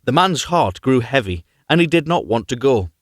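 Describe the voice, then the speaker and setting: A man reading a fairy tale aloud live as an expressive storyteller. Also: medium pitch, moderate pace, dry recording, studio quality. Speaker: storyteller, reader.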